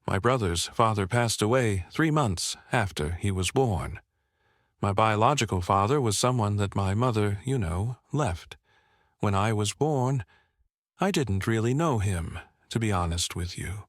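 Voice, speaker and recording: A man in his fifties from Vietnam speaks in a fairly low voice, recorded dry and close. French is his first language, and he explains his memories as an interviewee, often checking in with the listener. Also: lively intonation, measured pace, no echo. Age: 50s. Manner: explanatory, often checks with "right?" and "you know". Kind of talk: oral history interview, personal recollection.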